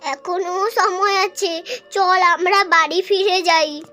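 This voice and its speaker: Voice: very high-pitched, steady tone, slow, pushed and bright, heavily acted. A performer voicing a fearful, cautious mother who pleads with her son in a horror cartoon. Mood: frightened, pleading. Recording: music behind the voice.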